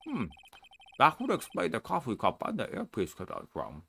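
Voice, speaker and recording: A man voicing a formal male courtroom judge who speaks in a measured way, for a fan-voiced game. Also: medium pitch, huge pitch swings, gravelly, a bit bright, a little hiss or hum, heavily acted.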